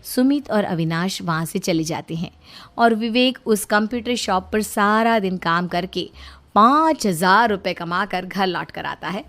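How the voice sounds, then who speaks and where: A woman's voice: mid-range voice, expressive, a little slow, a bit bright. Woman narrating a cartoon story. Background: faint background music.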